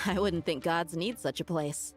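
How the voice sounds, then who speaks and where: Lowish-voiced, wry desert woman played by an actress. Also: unhurried, faint music behind, natural voice. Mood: wry, friendly. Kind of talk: video game voice acting.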